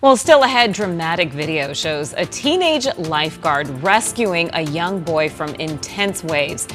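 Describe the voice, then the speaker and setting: Female anchor, lower register, crisp studio read over a soft music bed. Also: expressive, a little slow. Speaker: news anchor. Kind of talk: newscast reading.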